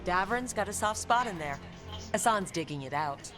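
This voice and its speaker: An actress playing a wry, teasing elf adventurer in a video game. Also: female voice, medium pitch, expressive, normal speed, over music, lightly acted. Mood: playful teasing. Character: adult female elf adventurer, wry, playful teasing companion. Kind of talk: video game dialogue acting.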